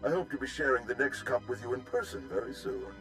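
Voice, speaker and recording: A man playing an earnest, formal military general in a video game cutscene, with measured speech. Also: medium pitch, a bit bright, faint music behind, lightly acted. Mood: grave, cordial. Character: earnest male Republic general pleading for help.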